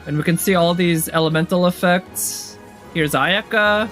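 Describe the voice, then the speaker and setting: A man's voice: sits high, moderate pace, a bit bright. A man giving casual, enthusiastic reaction commentary as a host, thinking aloud as he goes. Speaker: host of a game trailer tier list. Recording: music behind the voice. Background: game trailer music.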